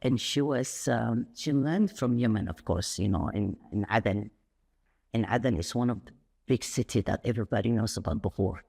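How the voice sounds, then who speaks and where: Gravelly, husky woman, animated storyteller. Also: normal speed. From Saudi Arabia. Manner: animated and rambling, with sudden high pitch leaps. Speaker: podcast guest. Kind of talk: conversational interview.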